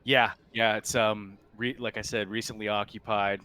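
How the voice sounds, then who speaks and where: A man's voice: lowish voice, little pitch movement, normal speed, pushed and bright, clear, resonant tone. A man narrating a tabletop roleplaying game as game master, improvising the scene.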